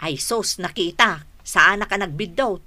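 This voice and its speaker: A woman's voice: medium pitch, a little slow, pushed and bright, no echo. A woman playing a middle-aged gossiping friend in a radio drama, nosy, inquisitive and insinuating. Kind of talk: Ilocano radio drama acting.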